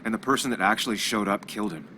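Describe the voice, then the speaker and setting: A man's voice: on the low side, very fast, pushed and bright, a little hiss or hum. An actor playing a brooding, guarded journalist in a video game, analytical and understated in his delivery. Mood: urgent, guilty. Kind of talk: video game voice acting with muttered inner monologue. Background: music.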